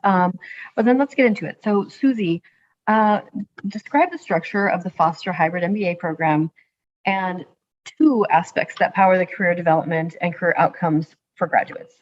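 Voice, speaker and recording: A woman's voice: fairly low pitch, moderate pace, dull audio. A woman hosting an online interview webinar, friendly and casual, with frequent fillers, over a video call. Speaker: host.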